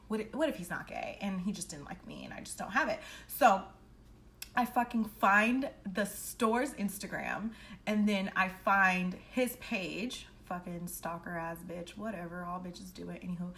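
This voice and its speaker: Bright-voiced young woman telling a story. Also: medium pitch. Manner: animated, dramatic re-enacting of dialogue; blunt, slangy, swearing freely. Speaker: storytelling vlogger. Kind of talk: casual personal storytime, chatty and profane.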